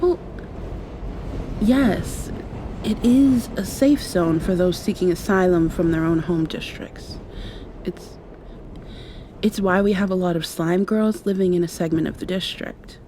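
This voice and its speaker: A woman playing a gentle, affectionate young vampire in an intimate ASMR roleplay, speaking softly with thoughtful pauses. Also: fairly low pitch, noisy background, close to an everyday voice. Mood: confiding, wry. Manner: soft, tender, unhurried, thoughtful pauses. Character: gentle, affectionate young female vampire confiding in her girlfriend.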